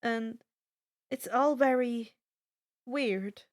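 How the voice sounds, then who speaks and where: A woman's voice: on the high side, extremely slow. A woman voicing an earnest teenage demigod boy in a casual, hesitant manner. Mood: awkward, nervous.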